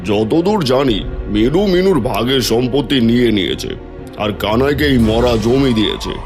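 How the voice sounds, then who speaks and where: A man's voice: mid-range voice, animated, normal speed, music in the background, lightly acted. A man voicing an earnest, dramatic village man in a cartoon, with exclamatory delivery. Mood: reproving. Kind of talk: Bengali cartoon voice acting.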